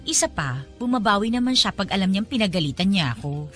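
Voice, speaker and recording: A woman's voice: medium pitch, animated, fast talker, natural voice. A woman plays a good-natured young Filipina legal assistant in radio drama dialogue. Her conversational voice sits mid-range and moves freely up and down. Music plays behind her. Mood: resigned, teasing.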